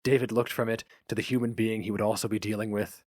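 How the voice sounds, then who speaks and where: A man's voice: fairly low pitch, fairly even intonation, quick pace, no room sound, clean studio sound. A man reading a story aloud as its narrator in a dramatic, scripted style.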